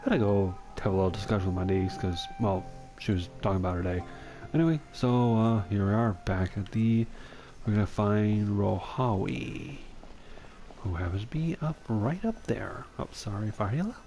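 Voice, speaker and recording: A man's voice: lowish voice, slow, dark tone. A man giving casual gameplay commentary as a streamer. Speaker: gaming streamer. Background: game music.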